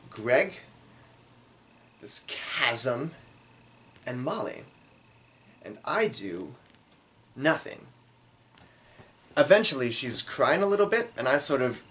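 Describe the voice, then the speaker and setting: A man tells a comic story about himself with animated, widely varied intonation. He is theatrical and self-mocking, pausing for drama. Faint noise sits behind his voice. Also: medium pitch. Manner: theatrical, dramatic pauses, acts out dialogue; self-deprecating. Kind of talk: comedic autobiographical storytelling.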